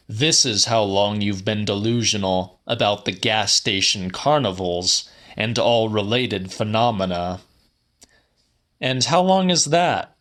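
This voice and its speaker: Forceful, slow, low-voiced male audiobook narrator. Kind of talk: dramatic first-person literary reading.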